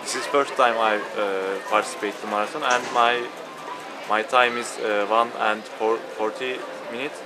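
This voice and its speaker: A man in his twenties from Turkey with a Turkish accent, speaking simple, halting English in an interview. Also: fairly low pitch, fairly even intonation, over a noisy crowd, heavy background noise. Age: 20s. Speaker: student skater.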